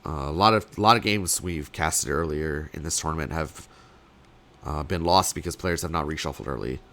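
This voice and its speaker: A young man, slightly sick and losing his voice, casting a card game tournament alone in rambling play-by-play full of fillers, with sudden bursts of excitement. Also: rumbling low, moderate pace. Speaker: tournament caster. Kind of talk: solo play-by-play commentary.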